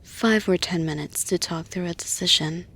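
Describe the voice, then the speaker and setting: The composed boss in an intimate audio roleplay who shuts the office door and soothes you in a husky, lowish, reassuring murmur a woman acting it out. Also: a bit bright. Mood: gentle, reassuring. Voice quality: breathy, husky. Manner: slow, deliberate, reassuring. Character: caring, composed female boss gently offering support. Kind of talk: intimate roleplay, soft-spoken and measured.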